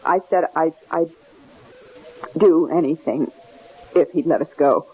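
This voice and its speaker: An actress playing a frightened, devoted captive woman in a 1970s radio drama, pleading earnestly and haltingly. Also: sits low, breathy edge. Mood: desperate resolve. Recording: muffled, hissy old radio broadcast.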